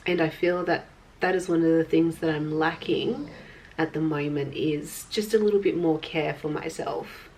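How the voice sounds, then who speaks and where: A woman, a little croaky from illness, narrating her daily routine in a soft, unhurried, reflective way. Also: deep voice, fairly even intonation, a little hiss or hum, low-quality recording. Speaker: lifestyle vlogger. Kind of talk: casual voice-over narration. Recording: voice-over with loud background music.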